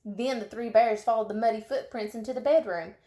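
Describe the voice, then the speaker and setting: A woman reading a children's storybook as narrator, expressively and with dramatic, drawn-out emphasis. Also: a little high, dark tone, echoey room.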